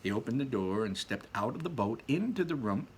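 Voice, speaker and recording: A man narrates a children's book in a lively, varied voice at a middle pitch. He leans dramatically on descriptive words and speaks casually in his asides. There is faint noise in the recording. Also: a little fast. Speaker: read-aloud storyteller.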